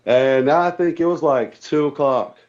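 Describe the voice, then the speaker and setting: A man's voice: a little high, measured pace, a little mellow. A man on a call telling stories casually as a guest, in a plain-spoken, folksy way. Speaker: interview guest, tournament angler. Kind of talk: casual storytelling chat. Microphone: remote call. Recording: dull lo-fi call audio.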